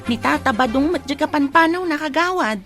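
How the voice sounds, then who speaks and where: A woman's voice: sits high, lively intonation, brisk, music in the background. A woman with an Ilocano accent playing a village neighbour in a radio comedy. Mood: sympathetic. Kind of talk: radio comedy drama acting.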